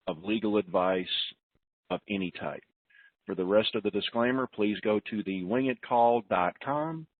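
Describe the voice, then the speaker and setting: A man hosting a conference call, giving informal announcements in a deliberate, plain-spoken manner over a telephone. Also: on the low side, dry recording. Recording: narrowband telephone conference line.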